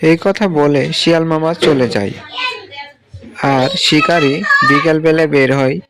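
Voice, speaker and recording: A man serves as narrator of a children's cartoon fable. His voice has a middling pitch, and the delivery is scripted storytelling. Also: a bit bright, a little hiss or hum.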